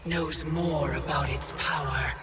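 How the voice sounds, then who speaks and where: A woman's voice: low voice, fairly even intonation, slow pace. A woman playing an imperious, coolly menacing titan of time in a video game, speaking formally and haughtily. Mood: haughty, probing. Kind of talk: dramatic video game villain acting. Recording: loud music behind the voice.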